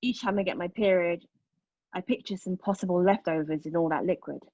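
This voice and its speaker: A woman acting a wounded, defiant character in a monologue, candid and bitterly sardonic, with halting phrasing. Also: lowish voice, darkish tone, no echo, dull audio. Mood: reflective, sardonic. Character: wounded, defiant woman confessing a painful past. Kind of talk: dramatic monologue at a table read.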